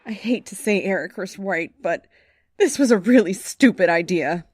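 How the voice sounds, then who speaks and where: A woman's voice: mid-range voice, expressive, moderate pace, a little mellow, husky, no echo, studio quality. A woman playing a brash, sardonic young heroine in a horror audio drama, sounding exasperated. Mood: straining, exasperated.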